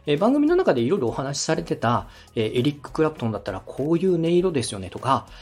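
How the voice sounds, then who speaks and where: A man hosting a podcast, politely and methodically giving encouraging production advice in a slightly husky voice. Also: a little high, big pitch swings, fast, a little mellow. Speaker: podcast host and radio director giving feedback.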